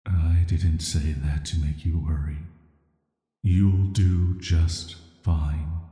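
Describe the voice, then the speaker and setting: A man playing an ancient cursed villain in a sleep-aid audio roleplay, speaking in a soft, flat, soothing and intimate way, flirty yet dangerous. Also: a bass voice, slow. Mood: calm, admiring. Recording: echoey, long reverb.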